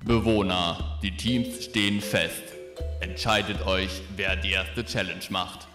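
A man acting as a solemn, formal camp announcer on a game show. He delivers orders slowly in a lowish voice, with music behind him. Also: fairly even intonation, heavily acted. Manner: deliberate, formal. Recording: echoing voice over loud music.

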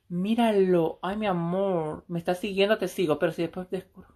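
A woman's voice: on the low side, expressive, normal speed, darkish tone. A woman, chatting casually and flirtatiously on a livestream from a small room, with a phone held close. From El Salvador. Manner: brash, flirty, swears freely. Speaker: livestream host. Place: small room indoors, seated on a couch.

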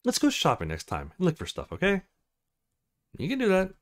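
A man's voice: sits high, huge pitch swings, a little fast, pitched above the performer's own voice. A man voicing a confident, teasing young woman, a model in a visual novel, in a breezy, playful manner.

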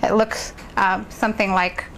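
A woman professor walks through an instructional demonstration in a clear, measured manner. Her voice sits at a middle pitch and is picked up by a lapel mic. A faint hiss of noise runs underneath. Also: flat delivery, fairly bright tone, poor audio. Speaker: assistant professor. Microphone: worn lapel microphone.

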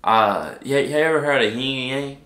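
A man's voice: medium pitch, normal speed, sharp tone. A young man with a stuffed-up nose, hosting a music reaction at home, chatting in a playful, rambling way. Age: young adult. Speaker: reaction channel host. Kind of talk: casual chat. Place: living room at home. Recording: echoey room.

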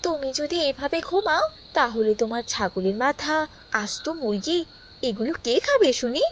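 A high-pitched young-sounding mother, acted by a woman, full of tenderness. She speaks in a coaxing, affectionate cartoon manner. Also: expressive, measured pace, slight background noise. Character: tender, doting mother of a little girl. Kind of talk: moral cartoon voice acting.

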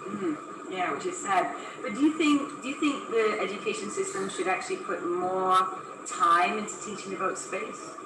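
A woman's voice: medium pitch, moderate pace. A middle-aged woman chatting outdoors, asking a girl probing questions. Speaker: interviewer. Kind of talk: casual chat. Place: balcony outdoors. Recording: echoey, distant, noisy phone recording.

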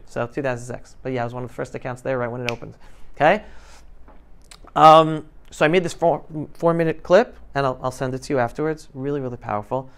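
A man's voice: mid-range voice, fast, a bit bright, clear, resonant tone. A middle-aged man giving an emphatic, colloquial storytelling talk to students, acting out conversations as he goes. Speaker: guest speaker, filmmaker telling his story. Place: school classroom, indoors. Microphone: clip-on lapel mic.

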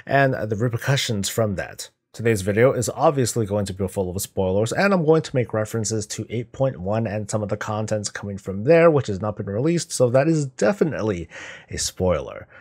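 A man's voice: lowish voice, expressive, brisk, darkish tone, breathy edge. A middle-aged man giving casual, musing reaction commentary as a video host, talking conversationally. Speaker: YouTube host reacting to game cinematics.

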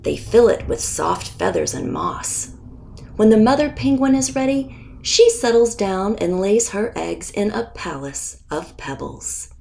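A woman reading a children's picture book aloud as its author, deliberate and expressive, with pauses before key words. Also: mid-range voice, gravelly, a little hiss or hum.

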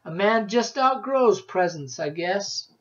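Rancid Crabtree: a man playing a loud drawling old woodsman. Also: high-pitched, expressive, takes it slowly, pitched above the performer's own voice. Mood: boastful. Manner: loud drawling hillbilly speech. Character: old backwoods woodsman, cheerful, rustic. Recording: dull lo-fi audio.

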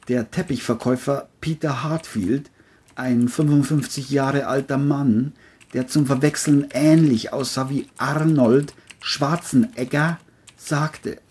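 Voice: mid-range voice, fairly even intonation, measured pace, darkish tone, breathy edge. A narrator reading a scripted crime audio drama, telling the story between the characters' lines.

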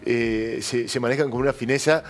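A man's voice: medium pitch, fairly even intonation, moderate pace. A middle-aged man with an Argentine accent, hosting a political talk show in a TV studio and telling stories emphatically. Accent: Argentine Spanish. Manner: emphatic storytelling, addresses co-host by name.

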